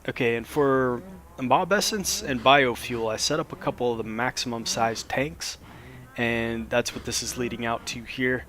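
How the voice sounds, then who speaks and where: A man, a little hoarse after a cold, casually explaining a modded game as he plays, with plenty of ums and restarts. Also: mid-range voice, normal speed. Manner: relaxed, rambling, lots of fillers. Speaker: Minecraft let's play host.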